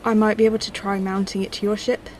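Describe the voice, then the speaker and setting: A woman's voice: medium pitch, flat delivery, quick pace, darkish tone, a little hiss or hum, close to an everyday voice. A woman playing a plucky, plain-spoken young spacefarer in a science-fiction audio drama, direct and understated. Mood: hopeful.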